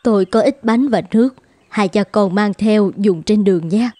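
A woman voicing a cautious but kindly middle-aged village woman in a cartoon, measured and plain-spoken. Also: mid-range voice, mellow, dry recording, close to an everyday voice. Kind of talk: animated film dubbing. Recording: music behind the voice.

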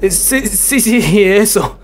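A woman voicing a timid, anxious twenty-year-old man in a cartoon fandub, stammering and halting. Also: medium pitch, expressive, a little mellow, slight background noise. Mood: nervous. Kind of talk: fandub comic acting.

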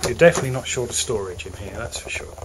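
A man's voice: medium pitch, fast, noisy background. English man touring a yacht's cabins. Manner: relaxed, hesitant, with fillers and restarts. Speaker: yacht broker. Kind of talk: walkthrough tour narration. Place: cabins below deck on a moored motor yacht. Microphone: handheld camera mic.

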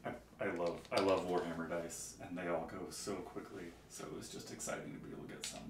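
A man's voice: low-pitched, flat delivery, fast. A man speaking casually as an off-camera companion, away from the microphone, adding commentary. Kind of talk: casual chat. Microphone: off camera, away from the mic. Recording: distant, echoey, faint room noise.

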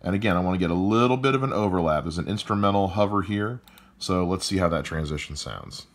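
Very deep-voiced man explaining audio edits. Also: fast. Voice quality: loud voice. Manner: calm step-by-step explaining. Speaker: podcast editor. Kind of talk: explaining a screen-recorded editing walkthrough.

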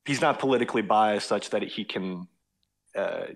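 Male caller, lower voice, hesitant and rambling. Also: moderate pace, vocal fry. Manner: hesitant, lots of fillers and restarts, searching for words. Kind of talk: casual phone-in conversation.

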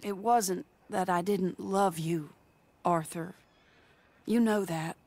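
Genteel young frontier woman, American, unhurried, earnest, gently reproving, game drama. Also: on the low side. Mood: wistful, pleading. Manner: proper, pleading, gently reproving. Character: genteel, earnest, troubled young lady of the Old West. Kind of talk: video game cutscene dialogue.

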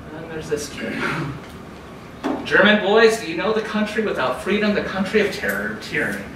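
A man lecturing from the front of a dark conference room. The echoey, roomy sound comes from a camera far across the room, with steady background noise. His voice climbs and gets louder whenever he reads a quote aloud. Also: brisk. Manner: raises pitch and volume when reading quotes aloud. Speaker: keynote speaker.

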